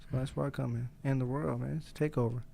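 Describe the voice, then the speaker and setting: A young man talks quickly and easily in a relaxed podcast conversation, his voice gravelly and a little fried at the ends of phrases. He is slangy and profane, and he laughs as he speaks. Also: mid-range voice, a little hiss or hum. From United States. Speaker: guest boxer. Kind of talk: casual podcast chat.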